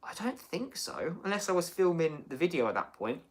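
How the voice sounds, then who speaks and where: A man's voice: a little high, sing-song, fairly quick. Male streamer, chatty and rambling, reads viewer comments, casual host. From United Kingdom. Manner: chatty, rambling, many fillers and restarts. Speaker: livestream host.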